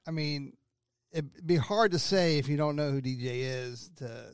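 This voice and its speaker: A man's voice: fairly high pitch, normal speed, clear, resonant tone, no room sound, clean studio sound. A man co-hosting a podcast, chatting casually in an emphatic, agreeable way. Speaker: podcast co-host. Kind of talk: casual chat.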